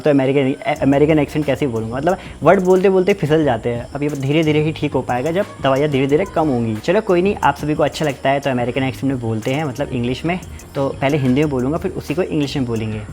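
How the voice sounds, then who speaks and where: Fast-talking tinged young man. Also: medium pitch, darkish tone, soft background music. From Uttarakhand, India. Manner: quick, repetitive, informal, self-explaining. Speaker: vlogger. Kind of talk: casual family vlog chat. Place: village home in the hills.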